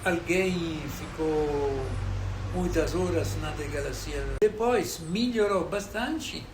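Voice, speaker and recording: A man who is a local resident speaks haltingly in a documentary interview, searching for his words. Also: a little high. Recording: echoey, noisy outdoor recording.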